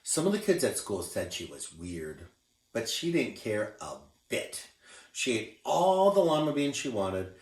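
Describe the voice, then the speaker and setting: A man reading a picture book aloud to children as a teacher, expressive and encouraging, pointing out the pictures. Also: lowish voice, distant mic. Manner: expressive, encouraging storyteller who shows pictures. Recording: echoey room.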